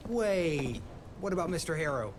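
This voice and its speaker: A nervous, eager young male sidekick, acted by a man in a game, pitched fairly high and fussily polite. Clear ambient noise runs behind the hurried lines. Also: expressive, lightly acted. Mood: nervous, fussy. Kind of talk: video game cinematic acting.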